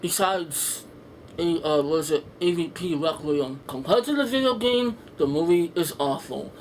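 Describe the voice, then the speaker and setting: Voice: medium pitch, moderate pace. Blunt, rambling reviewer over background noise. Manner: blunt, sweary, sarcastic. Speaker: film reviewer, video host. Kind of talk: casual, rambling, opinionated movie review. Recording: noisy home room with background ambience.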